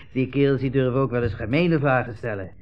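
An actor playing a breezy, patronising army captain at a refugee centre, in 1960s radio drama style. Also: male voice, medium pitch, moderate pace, lightly acted. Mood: glib, breezy. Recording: muffled 1960s radio broadcast recording.

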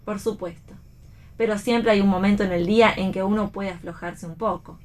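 A woman with an Argentine accent playing a stoic, plain-spoken, quietly hopeful woman in a read radio play. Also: mid-range voice, fairly even intonation, moderate pace, close to an everyday voice. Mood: resolute. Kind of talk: read radio theatre dialogue.

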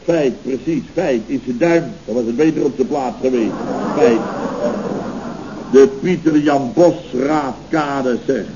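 A man's voice: medium pitch, unhurried, mellow, close to an everyday voice. A man playing a pompous, indignant, self-satisfied building contractor in a scripted radio comedy, blustering and rambling in grand words. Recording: dull, noisy old radio recording.

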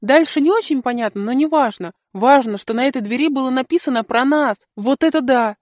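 Woman voicing an eager, naive little boy, high-pitched. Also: a little fast, no room sound, clean studio sound, natural voice. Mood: earnest, excited. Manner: earnest, expressive, childlike wonder. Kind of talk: first-person storybook narration.

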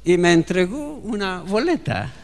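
A man's voice: high voice, very animated, unhurried, long reverb tail, noisy background. A male pastor with a Chilean accent preaching a sermon and acting out the dialogue of a story. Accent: Chilean Spanish.

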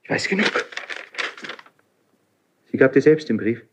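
An actor playing a highly strung young crown prince in a classical radio play, declaiming intensely with a husky voice. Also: male voice, lively intonation, measured pace, noisy background, lightly acted. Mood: agitated, suspicious. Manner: impulsive, intense, theatrically declaiming. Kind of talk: classical German radio play stage acting.

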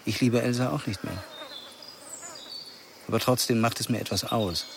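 An actor playing a jealous, irritable middle-aged father in a radio play, with a low, creaky voice. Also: steady tone, moderate pace, lightly acted. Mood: bitter, hurt. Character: jealous father of a grown daughter, wistful, irritable. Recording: noisy, insects buzzing outdoors.

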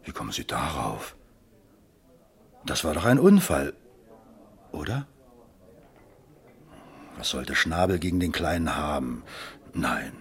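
A man's voice: rumbling low, sing-song, slow pace, vocal fry, long pauses, slightly rough, heavily acted. An actor in a German radio crime drama playing a male small businessman as a witness, chatty and evasive, deflecting with questions. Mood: talkative, evasive.

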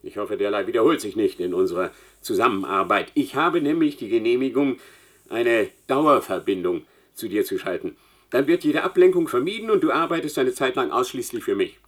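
An actor playing an imperious, demanding dean of mathematics in a German science fiction radio play, with a pompous, condescending manner. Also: male voice, medium pitch, expressive, brisk, natural voice. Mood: stern, demanding.

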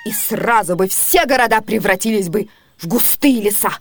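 An actress playing a brash, sharp-tongued servant woman in a classic comedy radio play, scolding in a folksy, indignant way. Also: medium pitch, sing-song, moderate pace. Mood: sardonic, indignant.

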